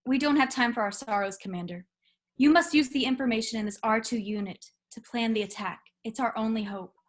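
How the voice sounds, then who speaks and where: A woman's voice: a little high, fairly quick, close to an everyday voice. A woman playing a proud, resolute young rebel princess in a script read, earnest and commanding. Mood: urgent. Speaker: actor in a table read. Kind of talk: virtual script table read.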